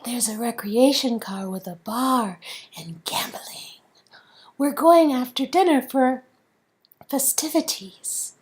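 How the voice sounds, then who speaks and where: High, teasing, party-loving woman acted by a reader. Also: lively intonation, slow. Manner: teasing, playful.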